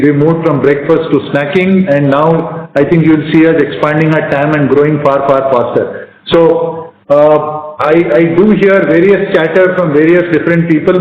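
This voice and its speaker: An Indian-accented man speaks as a company executive fielding questions in a measured, halting business style. His voice sits a little high. The sound is roomy and somewhat muffled, as on a conference call. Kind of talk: earnings call answers, spontaneous business talk.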